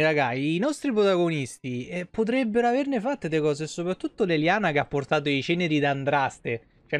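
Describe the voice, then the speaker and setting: Guy w streaming a game, highish voice, jokey and colloquial, dry close mic. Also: dry recording. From Italy. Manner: colloquial, joking. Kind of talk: casual live gameplay commentary. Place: small indoor streaming room.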